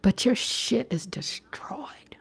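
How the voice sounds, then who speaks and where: A woman's voice: on the high side, expressive, takes it slowly, vocal fry, breathy edge, whispered. Woman in her fifties chatting on livestream. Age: 50s. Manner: conversational, repeats phrases and checks in with "you know". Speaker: livestream host. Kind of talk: casual livestream chat, replying to viewers.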